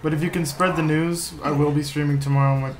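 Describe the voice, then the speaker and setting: A man's voice: fairly high pitch, level tone, quick pace. A young man hosting a game stream in casual chat, with a downbeat, self-deprecating manner. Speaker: live streamer, host. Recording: noisy room, typing in background.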